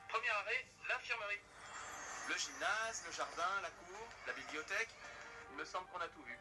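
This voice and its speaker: An actor playing a polite, composed teenage student delegate in a cartoon, speaking in a courteous, measured way. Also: male voice, high voice, animated. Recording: music behind the voice.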